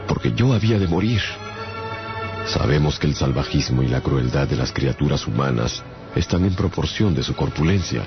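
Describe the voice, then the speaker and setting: A man giving theatrical, scripted first-person narration in a literary radio novel. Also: a bass voice. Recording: muffled radio drama with music behind.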